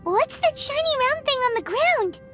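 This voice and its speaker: A woman voicing a small, curious, naive fairy companion in a video game, with a squeaky childlike voice. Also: lively intonation, moderate pace, fairly bright tone, faint music behind.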